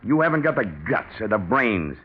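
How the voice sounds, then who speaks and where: An actor playing a big, bluff, ruthless business partner with a gloating, taunting manner in a 1940s radio drama. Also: male voice, lowish voice, big pitch swings, moderate pace, husky. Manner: gloating, taunting, hard-headed. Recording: dull, noisy 1940s radio recording.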